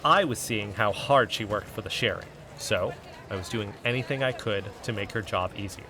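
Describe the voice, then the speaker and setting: A man's voice: fairly low pitch, moderate pace, noisy background, lightly acted. A man playing an earnest, self-important young amateur investigator, confiding emphatically in a scripted fiction podcast.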